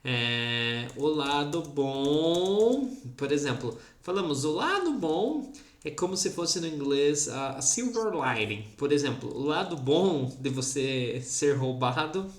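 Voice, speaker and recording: Casual: podcast host, talks slow like a teacher, kinda lively, echoey room. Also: medium pitch. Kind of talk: podcast interview. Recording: roomy echo.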